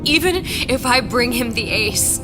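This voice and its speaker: A woman's voice: a little high, expressive, unhurried, music in the background. An actress playing a frightened, desperate but determined young woman held captive in a video game. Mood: bitter, distraught. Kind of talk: dramatic video game acting.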